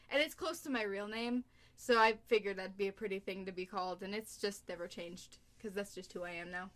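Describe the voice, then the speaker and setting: A woman's voice: medium pitch, a bit bright. A young woman chatting casually with friends, rambling and filling gaps with um and uh, often giggling. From United States. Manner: rambling with frequent fillers and giggles. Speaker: cover singer. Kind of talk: casual group chat.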